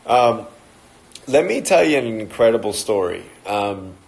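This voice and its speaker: A man's voice: lowish voice, sing-song, unhurried, vocal fry, a little mellow, low-quality recording. A man in his thirties with an Australian accent, chatting casually and joking as he greets viewers on a livestream talk. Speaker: motivational speaker.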